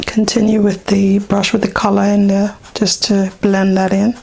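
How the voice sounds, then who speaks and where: A woman whose voice is hoarse and partly gone, in a mid-range pitch. She guides a beginner through each step of a painting in an easy, unhurried way, with frequent ums as she works. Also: monotone, a little mellow, a little hiss or hum. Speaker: painting teacher. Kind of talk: casual step-by-step painting demonstration.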